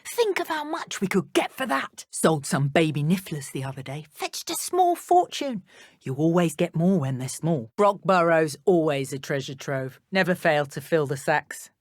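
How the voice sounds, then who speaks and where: An actress playing a menacing, boastful dark witch henchwoman in a video game, with a British accent and a smug, taunting, theatrical delivery. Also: low-pitched, big pitch swings, normal speed, no echo, clean studio sound. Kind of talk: video game combat barks and idle chatter.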